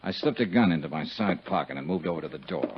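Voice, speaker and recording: An actor playing a terse, sardonic American investigator in a 1950s radio detective drama, speaking dialogue and first-person narration. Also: male voice, deep voice, animated, fast talker, heavy background noise. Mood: brisk narrating. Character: hardboiled, wisecracking American insurance investigator. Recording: dull, lo-fi 1950s radio recording.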